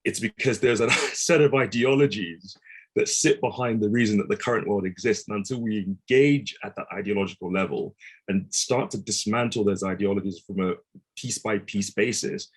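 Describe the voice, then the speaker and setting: A man's voice: fairly low pitch, moderate pace, husky. A man on a panel speaking thoughtfully and casually, with filler words now and then. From African diaspora. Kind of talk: casual discussion. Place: London.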